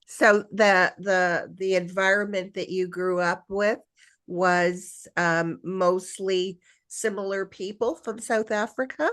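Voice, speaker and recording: A woman's voice: mid-range voice, steady tone, takes it slowly, fairly bright tone, dry recording. A woman hosting a podcast interview, asking questions in a chatty way with fillers. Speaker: podcast host.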